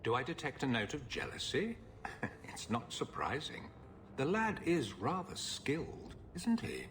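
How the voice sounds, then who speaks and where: An actor playing a smug, menacing aristocratic slave master in a video game, with a silky, condescending, taunting manner. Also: male voice, medium pitch, wildly swooping pitch, a little slow, a bit bright, full character voice. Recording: clear background noise.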